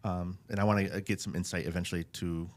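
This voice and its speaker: Middle-aged male podcast host, lowish voice, easygoing chat on a boom mic. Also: fast. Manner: relaxed, chatty, laughs easily. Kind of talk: casual interview. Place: small decorated podcast studio. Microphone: studio mic on boom arm, headphones.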